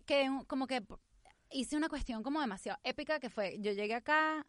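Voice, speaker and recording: Fast-talking Venezuelan woman. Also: high voice, no room sound. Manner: animated, mixes English phrases, quick storytelling. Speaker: guest, actress and comedian. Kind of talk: casual interview chat.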